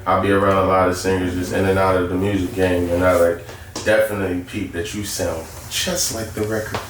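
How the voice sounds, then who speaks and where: A man's voice: low voice, flat delivery, fairly quick. A man hosting a casual interview, speaking in slang and often repeating his phrases. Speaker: podcast host. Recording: very echoey room, distant mic, faint noise.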